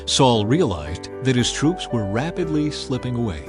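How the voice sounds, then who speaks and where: A man's voice: mid-range voice, very animated, over music. Grave male scripture narrator. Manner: measured, grave storytelling.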